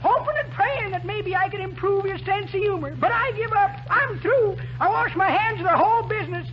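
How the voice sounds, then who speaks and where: High-pitched folksy old codger. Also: male voice, fairly quick. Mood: fed up, exasperated. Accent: American. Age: older. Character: cranky, folksy old man, a cackling teller of stale jokes. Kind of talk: 1940s radio comedy acting. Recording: muffled, faintly noisy 1940s radio broadcast.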